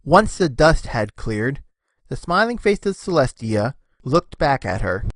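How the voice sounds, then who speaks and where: A man's voice: mid-range voice, very animated, unhurried, a bit bright, no echo. A man narrating a scripted story expressively, as the narrator of a fan fiction reading.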